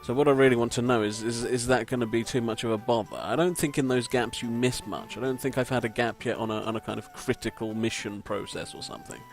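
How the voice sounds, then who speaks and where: A man with a croaky voice, giving rambling, self-deprecating gameplay commentary from a home mic and swearing casually. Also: medium pitch, fast, few pauses, soft background music. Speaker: let's play commentator. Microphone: home desk microphone.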